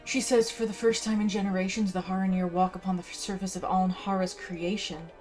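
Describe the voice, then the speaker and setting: A woman gives casual commentary as she plays a game, sometimes reading quest text aloud. Her voice is mid-pitched, and game music plays clearly behind her. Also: flat delivery, a little fast, darkish tone. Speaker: gaming video host.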